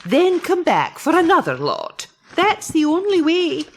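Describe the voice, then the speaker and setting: A woman's voice: very high voice, wildly swooping pitch, unhurried, a little hiss or hum, heavily acted. A woman voicing a kindly, sympathetic village postmistress in a children's audiobook, soothing and encouraging.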